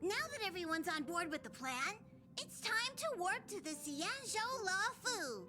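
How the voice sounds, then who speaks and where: Small creature conductor for a game: squeaky, animated, announcing, over clear music. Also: female voice, measured pace, heavily acted. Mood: eager. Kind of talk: video game voice acting. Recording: music playing clearly behind the voice.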